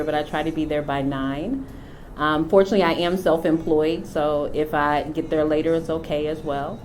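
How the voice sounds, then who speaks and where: Low-voiced woman, thoughtful testimony. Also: darkish tone, a little hiss or hum, poor audio. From United States. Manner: unhurried, thoughtful, many filler pauses. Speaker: company founder and CEO. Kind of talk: reflective personal testimony.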